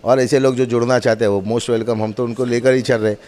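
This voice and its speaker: A man's voice: mid-range voice, flat delivery, fast, darkish tone. A man gives a spoken demonstration, explaining in an emphatic, repetitive, instructive way. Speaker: mosque representative demonstrating loudspeaker equipment.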